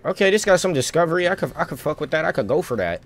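A man's voice: fairly high pitch, big pitch swings, racing. A man giving casual, slangy gaming commentary as a live streamer, muttering reactions as he plays.

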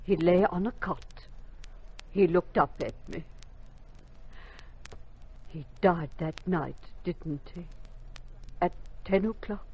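An actress playing a calm, grieving mother who has visions, in a 1950s radio drama. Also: on the low side, slow, long pauses, husky, heavily acted. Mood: sorrowful, entranced. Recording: dull 1950s radio recording.

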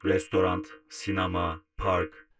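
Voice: low-pitched, little pitch movement, slow. An actor voicing a polite, unflappable talking car computer in a children's cartoon, making formal announcements with music behind.

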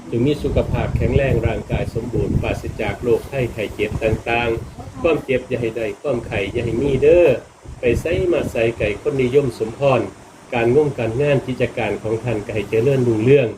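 A man's voice: medium pitch, fairly even intonation, fairly quick. A man with an Isan accent from northeastern Thailand, a Buddhist monk talking in a folksy, colloquial way to temple visitors and giving blessings. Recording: noisy live recording with background ambience.

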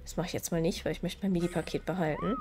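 Woman, nasal cold voice, casual gameplay commentary, low voice, faint hiss behind. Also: fairly even intonation, racing. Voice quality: slightly nasal. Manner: chatty, explains game mechanics while playing. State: stuffy nose from a cold. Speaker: gaming video creator.

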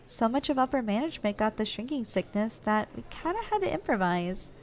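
A woman playing a doting, gentle giantess secretary in an ASMR roleplay, speaking in a caring, softly teasing, slightly hesitant way. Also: fairly high pitch, big pitch swings, brisk, slight background noise. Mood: apologetic, gentle.

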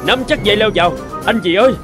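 A man acting earnest men in an animated moral fable, with a theatrical, declamatory delivery. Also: high-pitched, fast talker, close to an everyday voice. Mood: alarmed, urgent. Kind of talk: animated folk tale dub. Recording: music behind the voice.

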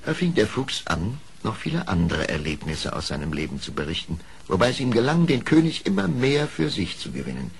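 A man narrating an animal fable radio play in a gravelly, very raspy voice with dramatic phrasing. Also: fairly low pitch, big pitch swings, a little fast, hardly any pauses, heavily acted.

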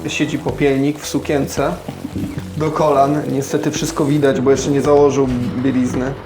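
A man's voice: mid-range voice, flat delivery, moderate pace. A man running a tabletop role-playing game as game master, narrating scenes off the cuff in casual, plain language. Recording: roomy echo, music behind. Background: background music.

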